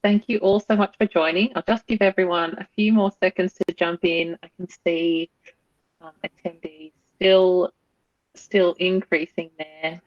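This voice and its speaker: An Australian woman hosts an online webinar and welcomes attendees with frequent ums. Her voice sits low. The video call audio is dull and dry. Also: steady tone, measured pace, dry recording. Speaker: webinar host and general manager. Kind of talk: formal webinar introduction.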